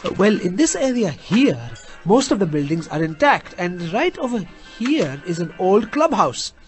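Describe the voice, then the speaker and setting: Wary immigrant cab driver, very high and animated, formal phrasing, grumbling audio drama role. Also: male voice, moderate pace, faint music behind. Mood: sceptical, wary. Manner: formal uncontracted phrasing, exasperated.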